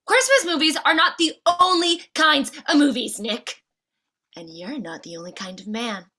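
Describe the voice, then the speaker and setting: Woman as a sharp, high-voiced scorned wife. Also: animated, normal speed. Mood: scornful threatening. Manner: biting, confrontational, dramatic. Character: Mrs. Claus, scornful, furious, fed-up betrayed wife. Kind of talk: comedy table read.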